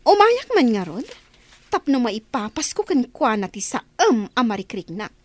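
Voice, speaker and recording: A woman's voice: fairly high pitch, big pitch swings, measured pace, no room sound. A woman with an Ilocano accent playing a sly, scheming young woman in a radio drama. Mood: plotting.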